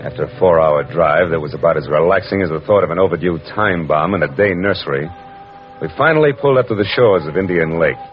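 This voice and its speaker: A very low-voiced, hard-boiled American private eye, clipped, wry and in command. He narrates like a 1940s radio drama, on a dull old recording with music. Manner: wry, clipped, world-weary. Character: tough, wry male private detective, dry and in command.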